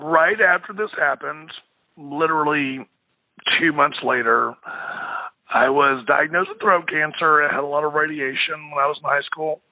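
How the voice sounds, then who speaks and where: A young man with a naturally husky, raspy voice, recalling his family's hardship in an interview, sometimes breaking up with emotion. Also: on the high side, normal speed, dry recording. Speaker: interviewee, victim's stepson. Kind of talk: recorded interview clip. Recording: tinny phone-line audio.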